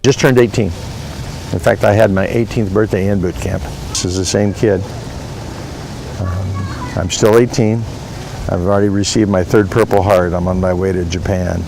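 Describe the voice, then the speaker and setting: An older man speaking slowly and soberly in a news interview. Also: deep voice, fairly even intonation, gravelly, background noise.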